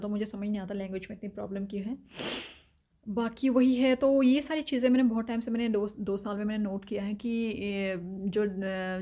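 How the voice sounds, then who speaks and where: A woman's voice: medium pitch, warm tone. A woman from India talking frankly in a casual vlog, with lots of filler sounds and opinions. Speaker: vlogger. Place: home in Denmark.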